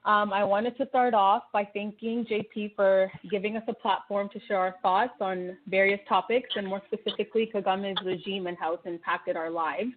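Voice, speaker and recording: A woman in her twenties from Canada, hosting a relaxed radio talk and chatting easily with her guests. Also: mid-range voice, normal speed, few pauses. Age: 20s. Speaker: host. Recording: tinny phone line.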